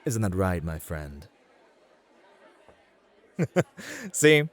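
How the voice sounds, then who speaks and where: A man's voice: low-pitched, big pitch swings, very slow, some chatter behind, exaggerated voice. A man playing a suave, polite club host in an ASMR roleplay, flirtatious and courtly as he teases and mentors. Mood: amused, teasing.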